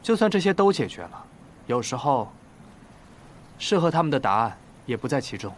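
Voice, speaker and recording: A man's voice: mid-range voice, sing-song, moderate pace, a little hiss or hum, lightly acted. A man playing an aloof, guarded, pessimistic young novelist in a modern romantic drama, speaking in a cool, detached way. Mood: somber, resigned. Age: young adult. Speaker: actor in a television drama.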